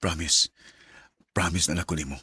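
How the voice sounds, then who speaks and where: Man acting low-voiced young Bisaya suitor, noisy recording. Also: fairly even intonation, moderate pace, lightly acted. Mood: downcast, reassuring. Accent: Filipino Bisaya. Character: poor young male student, soft-spoken sweet-talking suitor. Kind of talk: sentimental radio drama acting.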